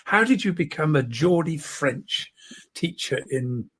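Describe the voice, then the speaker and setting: Older woman, from Britain, show host, casual interview, halting speech, repeats words, chuckly. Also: deep voice, lively intonation, breathy edge.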